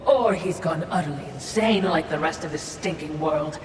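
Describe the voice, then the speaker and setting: A woman's voice: low-pitched, moderate pace, lightly acted. A woman voicing a sly, sardonic female spirit servant in a video game, sarcastic and falsely deferential. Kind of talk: dramatic video game acting. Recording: echoey, distant, noisy game mix.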